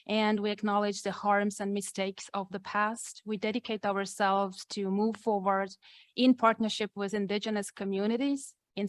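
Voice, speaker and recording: A woman academic introducing herself on a panel in a measured, formal manner. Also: mid-range voice, dry recording, clean studio sound. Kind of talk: prepared panel self-introduction.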